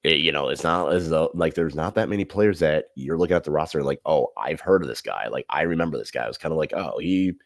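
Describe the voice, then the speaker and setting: Very deep-voiced man talking football. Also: fast, hardly any pauses, no echo, clean studio sound. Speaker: podcast co-host discussing football. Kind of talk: casual sports discussion.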